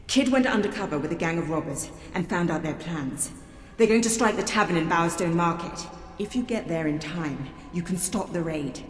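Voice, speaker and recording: A woman's voice: fairly low pitch, lively intonation, normal speed, natural voice. A woman playing a resolute, businesslike rebel leader in a video game, speaking in an earnest, firm, plain-spoken way. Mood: earnest, urgent. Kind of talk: dramatic video game voice acting. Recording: echoey hall, faint noise.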